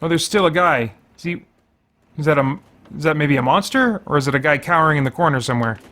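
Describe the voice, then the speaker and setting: A man's voice: on the high side, animated, fast talker, bright, forceful tone. A man giving casual gameplay commentary as a show host, conversational and musing as he thinks aloud. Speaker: gamer, host of a faith and geek culture show.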